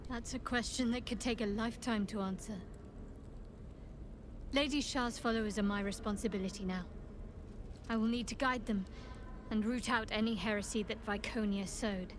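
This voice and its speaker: Actress as self-assured cult priestess, cold resolve, slightly high voice, measured, game drama, noisy backdrop. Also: female voice, fairly even intonation, natural voice. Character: resolute, cold young priestess taking command of her cult. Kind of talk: dramatic video game acting.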